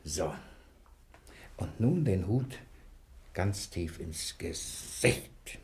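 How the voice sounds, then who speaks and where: A man's voice: low voice, lively intonation, extremely slow, noisy background, full character voice. A man acting a cunning, cheeky fugitive thief in a 1960s German radio play, with a coaxing, fussing manner. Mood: playful, coaxing. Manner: fussing, coaxing mutter to himself.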